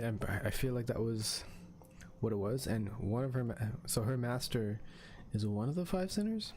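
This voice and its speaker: A man's voice: medium pitch, darkish tone, a little hiss or hum. A man doing casual let's play commentary as a game streamer, thinking aloud in a hesitant way.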